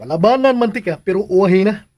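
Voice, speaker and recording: A man's voice: sits high, animated, fast talker, darkish tone. A man playing a level-headed companion who questions and admonishes, in radio drama style. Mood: chiding.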